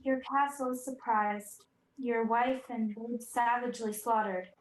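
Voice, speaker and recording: A young woman plays a grave, sorrowful messenger in a Shakespeare radio drama. The voice is fairly high and rings in a very echoey room. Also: fairly even intonation, a little slow, a little hiss or hum, natural voice. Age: young adult. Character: sorrowful noble messenger bearing dreadful news. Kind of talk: 1940s radio play Shakespeare acting.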